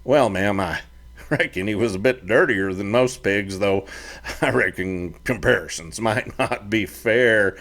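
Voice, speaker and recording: A man voicing a polite young cowboy who answers with dry, wry humor in an unhurried drawl. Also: lowish voice, fairly bright tone.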